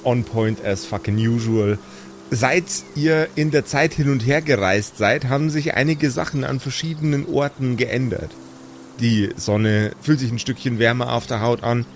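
A man's voice: mid-range voice, moderate pace, a little hiss or hum. A man improvising narration as game master of a tabletop game, with a sardonic manner.

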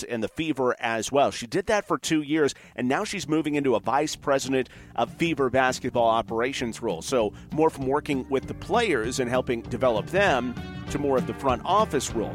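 A man hosting a podcast, talking in a conversational introductory style. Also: mid-range voice. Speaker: podcast host.

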